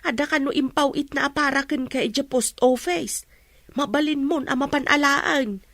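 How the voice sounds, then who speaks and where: A woman's voice: sits high, normal speed, a bit bright, no echo. An actress playing a kindly older village woman in a radio drama.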